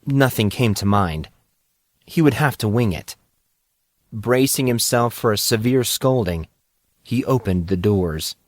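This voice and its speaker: A man's voice: fairly low pitch, lively intonation, unhurried, pauses often, no echo. A man narrating a story as a scripted dramatic audiobook reading.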